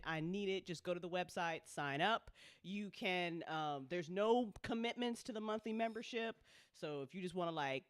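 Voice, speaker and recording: A woman's voice: fairly low pitch, dry recording, studio quality. A woman chatting casually as the host of a live listening party, informal, playful and freely sweary. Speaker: host of a live audio drama listening party, co-founder, writer and director. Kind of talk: casual live-stream chat.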